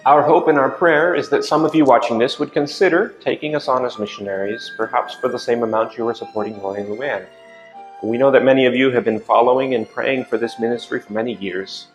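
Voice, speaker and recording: A man giving an earnest, read-out missionary appeal in a presentation video. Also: mid-range voice. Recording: roomy sound with faint music.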